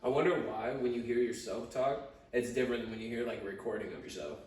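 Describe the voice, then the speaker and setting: A man's voice: medium pitch, quick pace, crowd noise. A young man hosting a casual chat with friends, bantering in slang and swearing freely. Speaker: podcast host. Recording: echoey room, distant mic, background noise.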